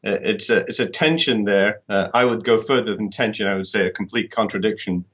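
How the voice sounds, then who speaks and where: A man's voice: fairly low pitch, a little fast, fairly bright tone, breathy edge. A middle-aged man from the UK, answering interview questions thoughtfully and often repeating words as he restarts. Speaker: author guest.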